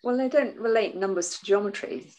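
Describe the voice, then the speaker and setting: A woman in her sixties with a soft voice, talking animatedly as a guest on a video call from a room at home. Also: mid-range voice, fairly quick, low-quality recording. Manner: animated, waves arms while talking. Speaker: guest author and artist. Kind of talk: casual video call interview.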